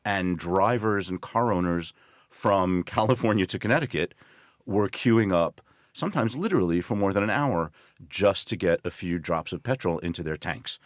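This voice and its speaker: A man's voice: low-pitched, expressive, normal speed, no room sound, clean studio sound. An American man in his sixties, an interview guest telling stories in an articulate, explanatory, conversational way. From northeastern United States. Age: 60s. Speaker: interview guest, journalist and risk consultant. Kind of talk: measured conversational storytelling.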